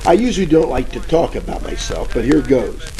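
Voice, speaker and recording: An actor playing a bumbling, boastful private detective in a parody of 1940s radio drama, telling rambling stories in hammy slang. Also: male voice, mid-range voice, big pitch swings, fairly quick, warm tone, low-quality recording. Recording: old-time radio with music behind.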